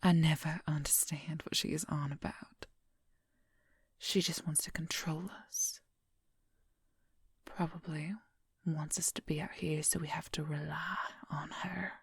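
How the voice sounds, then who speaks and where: A woman acts out a possessive young werewolf woman in an ASMR role-play. She whispers very slowly and intimately, with a husky, breathy voice that crackles with heavy fry. The sound is close and dry. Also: deep voice, flat delivery, dry recording, exaggerated voice. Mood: soft, discontented.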